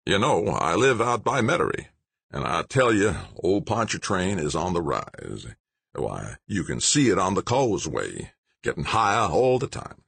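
A man's voice: low voice, very animated, normal speed, gravelly, fairly bright tone, breathy edge, no echo, full character voice. A man voicing a folksy, earnest male majority leader who draws out his words.

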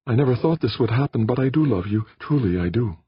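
A man voicing a gentle, loving young alien woman who speaks earnestly and soothingly. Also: medium pitch, lively intonation, fast, breathy edge, dry recording, muffled recording, voice pushed up.

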